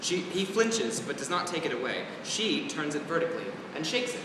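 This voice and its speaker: A man's voice: a little high, very animated, a little fast. A man reading out stage directions as scripted narration at a play reading. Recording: very echoey hall, distant mic, noisy ambience.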